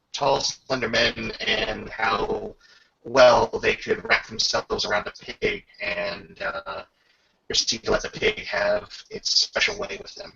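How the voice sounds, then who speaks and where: A man narrating a tabletop roleplaying game as game master, casual and descriptive, with a gravelly, husky voice. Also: medium pitch, sharp tone. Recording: muffled lo-fi voice recording.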